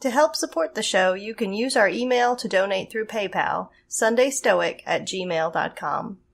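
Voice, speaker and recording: A woman reading a short scripted promo for a podcast in a brisk, friendly way. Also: medium pitch, lively intonation, creaky. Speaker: podcast announcer.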